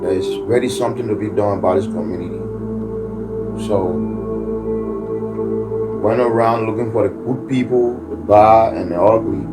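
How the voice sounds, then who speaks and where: Earnest man over loud music. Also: lowish voice, fairly even intonation, far from the mic. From Liberia. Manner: earnest, halting, preacher-like, repeats himself. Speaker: community activist and musician. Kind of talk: earnest personal testimony.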